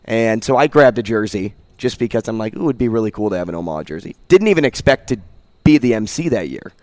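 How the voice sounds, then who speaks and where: A man with a slightly hoarse voice chats casually on a sports talk show. He tells stories in a relaxed, chatty way and laughs easily. The sound is dry and close, with little room around him. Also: lowish voice, fast, dry recording. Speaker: radio co-host. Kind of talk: casual chat.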